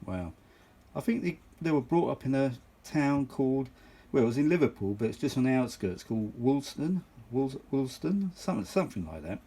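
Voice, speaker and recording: A mature man with a slightly rough, husky voice talks at an ordinary male pitch. He shows off vintage gear in loose, unscripted chat, wandering between asides and short exclamations, often saying things twice to stress them. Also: very animated, moderate pace, mellow. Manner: rambling, exclamatory, repeats himself for emphasis. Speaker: vintage audio enthusiast showing a machine. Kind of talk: casual unscripted show-and-tell chat.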